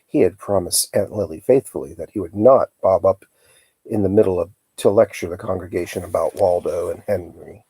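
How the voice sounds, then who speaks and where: A man hosting a live storytime, reading a children's novel aloud in a calm, unhurried, hesitant manner. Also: sits low, breathy edge, no echo, low-quality recording.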